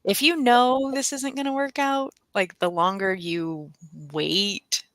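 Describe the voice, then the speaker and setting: A middle-aged woman co-hosting a livestream, chatting casually in a halting, personal storytelling way. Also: medium pitch, steady tone, a bit bright, no echo, studio quality. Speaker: co-host of a book readalong livestream.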